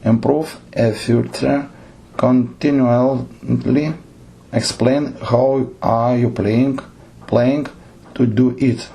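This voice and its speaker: A man with a Lithuanian accent and Lithuanian as his native language, giving a lecture on theatre directing. He reads out numbered points haltingly and keeps correcting himself. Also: medium pitch, steady tone, creaky, mellow, slight background noise. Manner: halting, frequent self-corrections and restarts.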